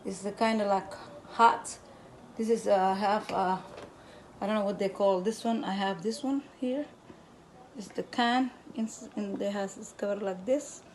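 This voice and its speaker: A Somali woman with a Somali accent, hosting her cooking channel from her home kitchen in halting English, recorded by a camera a little way off. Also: mid-range voice, fairly even intonation, slight background noise. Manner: halting broken English, repeats words while explaining. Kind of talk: casual cooking demonstration.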